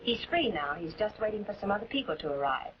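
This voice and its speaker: A woman's voice: medium pitch, sing-song, fast talker, a little hiss or hum, natural voice. A woman playing a welcoming, chatty secretary in a late-1940s television drama, obliging and reassuring. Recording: muffled 1940s soundtrack with music.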